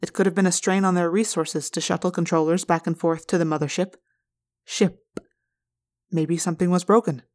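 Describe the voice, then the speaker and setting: A woman's voice: on the low side, steady tone, normal speed, darkish tone, lightly acted. A woman voicing a young male alien in human form, earnest and formal, who plays with the sounds of words in an audiobook. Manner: formal, precise, toys with word sounds.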